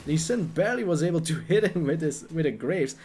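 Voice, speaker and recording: A young man with a Dutch accent giving excitable, jokey live commentary on a video game, talking to the players on screen. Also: on the high side, brisk, husky.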